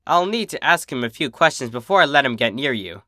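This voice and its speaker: A man's voice: mid-range voice, sing-song, fairly quick, sharp tone, dry recording, clean studio sound. A man voicing a cocky, protective female pegasus, a big sister with a brash, casual, reassuring manner. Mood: proud, warm.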